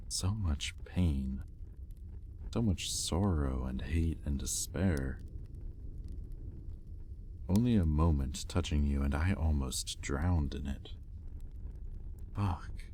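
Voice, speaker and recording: An extremely low-pitched male demon, acted by a man, speaks in an intimate roleplay style. He is a teasing, sardonic captor, arrogant and curious, talking conversationally over faint background noise. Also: a little mellow, full character voice. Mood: bewildered, shaken. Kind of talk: intimate audio roleplay acting.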